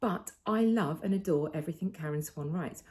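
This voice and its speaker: A woman's voice: low voice, fairly quick, a little mellow. A British woman chatting casually and enthusiastically as she hosts a book club review. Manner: enthusiastic, conversational.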